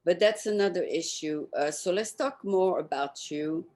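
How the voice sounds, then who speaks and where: A woman with a low voice hosts an informal interview. She talks conversationally, putting questions to her guest and chipping in with remarks of her own. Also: moderate pace, darkish tone. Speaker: interviewer, host.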